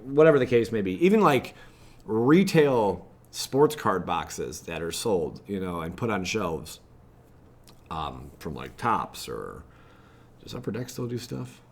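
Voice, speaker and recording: A man with a fairly low voice and an American accent talks like a gaming channel host. He rambles informally in a casual, chatty way, dropping in mildly exasperated asides as he goes. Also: sing-song, a little slow, breathy edge. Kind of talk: casual livestream chat, reacting to web pages.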